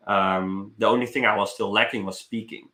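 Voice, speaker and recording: The sound is roomy and echoey. A young man talks in a bright, forceful voice on the low side. He rambles casually like a streamer catching up with viewers, halting and repeating words as he goes. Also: steady tone. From Netherlands. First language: Dutch. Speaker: live streamer, travel vlogger. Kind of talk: casual live stream chat. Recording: roomy echo.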